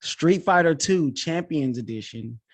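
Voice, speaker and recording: A man's voice: on the high side, expressive, a little slow. A man hosting a podcast in a casual, informal chat, talking with enthusiasm like a coach. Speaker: podcast host; coach and teacher.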